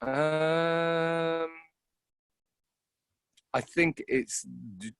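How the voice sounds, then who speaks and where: A man being interviewed as an actor, talking reflectively and informally in a halting, searching way, often repeating words. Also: on the high side, steady tone, a bit bright, smooth, dry recording.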